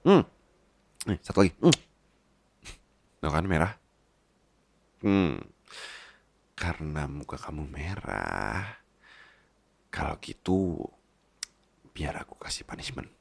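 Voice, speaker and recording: Man playing a babyish boss husband, creaky low voice, swooping intonation, playful coaxing, intimate ASMR roleplay. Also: sing-song, slow pace, pauses often, darkish tone, no room sound, full character voice. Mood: doting, teasing. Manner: coaxing, playful, drops into whispers. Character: doting, teasing husband, a babyish big boss.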